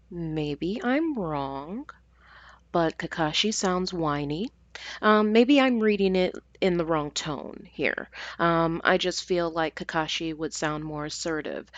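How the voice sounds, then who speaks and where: A woman's voice: low voice, no echo, muffled recording. A woman host giving a casual, dramatic review, with exasperated, hesitant asides and exaggerated emphasis.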